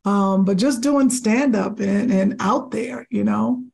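A woman comedian with a deep, raspy voice, chatting casually as a podcast guest, animated and rambling. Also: slow.

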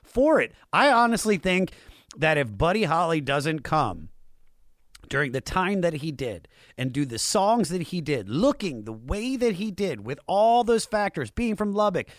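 A man's voice: fairly high pitch, very animated, unhurried, no room sound, clean studio sound. An American man hosting a casual music talk show, enthusiastic and emphatic. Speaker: podcast host.

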